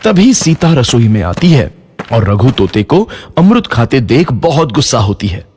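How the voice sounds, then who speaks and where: A man's voice: mid-range voice, sing-song, measured pace, a little mellow, a little hiss or hum. A man narrating a scripted moral story for children.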